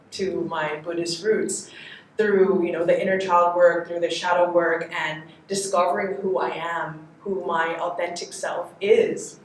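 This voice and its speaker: A young adult woman from Thailand, moderating a panel discussion in a slow, reflective, soft-spoken way, recorded from a distance in an echoey room. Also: on the low side, a little hiss or hum.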